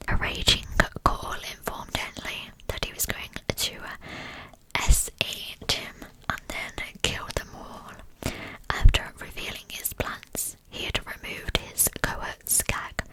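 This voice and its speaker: A woman narrating a scripted true crime story as ASMR in a breathy, unvoiced whisper, with a measured storytelling manner. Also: rough recording. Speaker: ASMR channel host.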